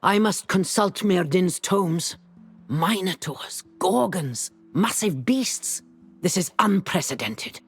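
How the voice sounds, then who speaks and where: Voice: medium pitch, expressive, slow pace, breathy edge, exaggerated voice. An actor playing a grave, scholarly enchanter in a fantasy game, speaking in formal, theatrical, archaic phrases. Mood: urgent, stern. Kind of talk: dramatic scripted fantasy game dialogue and narration. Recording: music behind the voice.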